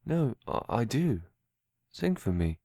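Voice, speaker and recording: A man playing an earnest, mentally slow young runaway with a halting, pleading manner in an amateur audio drama. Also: mid-range voice, big pitch swings, mellow. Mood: shy, gentle.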